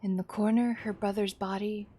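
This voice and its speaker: A woman's voice: mid-range voice, flat delivery, normal speed, warm tone. A woman streamer reading a horror visual novel aloud as a dramatic narrator.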